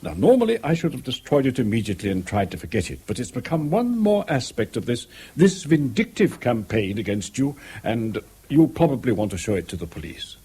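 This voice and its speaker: Senior English official, mellow and very husky, hesitant, formal, discreet; 1970s radio drama. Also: male voice, medium pitch, big pitch swings, brisk. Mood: embarrassed, grave. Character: embarrassed, supportive senior male official, formal and tactful. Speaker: actor in a radio play.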